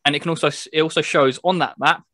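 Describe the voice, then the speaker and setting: A man's voice: fairly high pitch, fairly even intonation, fast talker, bright, forceful tone, no echo, studio quality. A man co-hosting a gaming podcast, chatting casually and explaining things step by step. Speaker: podcast co-host reviewing a video game. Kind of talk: casual chat.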